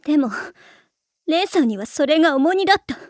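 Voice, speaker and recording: High-pitched, prim anime rich girl. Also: expressive, brisk, no echo, clean studio sound. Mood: bitter, despondent. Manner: formal, refined ojou-sama way of speaking. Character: prim, proud teenage schoolgirl, self-blaming perfectionist with ladylike speech. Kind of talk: anime voice acting.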